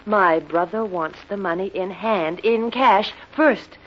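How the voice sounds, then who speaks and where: An actress playing an anxious, pleading client in an old radio detective drama. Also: female voice, mid-range voice, takes it slowly, a little hiss or hum, natural voice. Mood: anxious, secretive. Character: anxious, pleading female client with a stolen diary. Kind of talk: 1950s radio drama acting. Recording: dull, muffled old radio recording.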